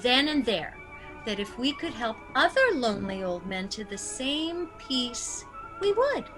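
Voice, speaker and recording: A woman playing a sweet, prim elderly aunt in a theatrical radio comedy, genteel and gently scolding. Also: a little high, very animated, measured pace, music in the background. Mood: fondly reminiscing. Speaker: actor.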